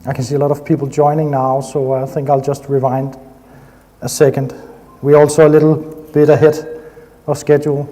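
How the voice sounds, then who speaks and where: A man's voice: medium pitch, little pitch movement, normal speed, dark tone. A man from Denmark with a Danish accent, giving an enthusiastic technical conference talk with frequent hesitations. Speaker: conference speaker. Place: conference hall. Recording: long hall reverb.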